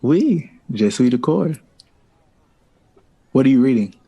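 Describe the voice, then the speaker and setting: An actor playing an urbane, witty and smitten jazz composer in an audio drama, with refined, theatrical phrasing that savors words. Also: male voice, medium pitch, sing-song, slow, mellow, slightly rough, highs cut off, full character voice. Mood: eager, amused.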